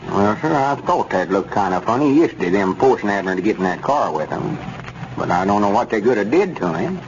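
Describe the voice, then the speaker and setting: A hillbilly arkansas storekeeper, earnest n stubborn, drawly, crackly dull 1930s radio sound. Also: male voice, fairly low pitch, sing-song, brisk. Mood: worried. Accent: rural Arkansas. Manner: drawling, rustic backwoods grammar, earnest. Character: gullible, stubborn country storekeeper, earnest and easily riled. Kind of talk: 1930s radio comedy serial acting. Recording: muffled, noisy 1930s radio recording.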